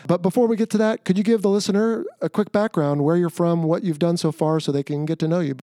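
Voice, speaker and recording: Casting: middle-aged male podcast interviewer, conversational and casual, relaxed timbre, dry close sound. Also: sits high, fast talker, no echo. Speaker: podcast host.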